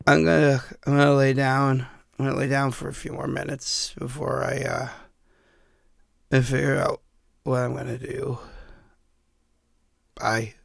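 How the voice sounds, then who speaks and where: A man playing a battered, sardonic man trapped in a haunted house, rambling haltingly as if leaving recorded messages in a horror audio drama. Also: mid-range voice, little pitch movement, vocal fry, no echo, studio quality. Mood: dazed, drained. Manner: halting, rambling, self-deprecating.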